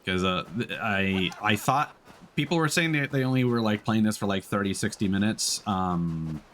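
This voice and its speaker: Low-pitched male streamer, casual. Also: normal speed, a bit bright, a little hiss or hum. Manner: hesitant, thinking aloud. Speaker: game streamer. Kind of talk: casual live gameplay commentary.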